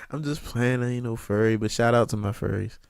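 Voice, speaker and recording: A young man hosting a casual interview, joking around, laughing, and loudly protesting in fun. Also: mid-range voice, moderate pace, creaky, heavy background noise. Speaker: interviewer, channel host.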